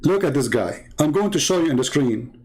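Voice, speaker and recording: A man's voice: a little high, lively intonation, fairly quick, a little mellow. Sarcastic man with an Arabic accent. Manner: mocking, scornful, sarcastic laughs. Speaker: online debater. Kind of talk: combative commentary while reading comments.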